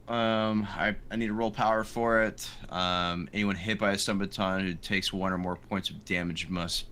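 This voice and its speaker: A man's voice: deep voice, steady tone, moderate pace, sharp tone, slight background noise. A man chatting casually as a player in a tabletop roleplaying game.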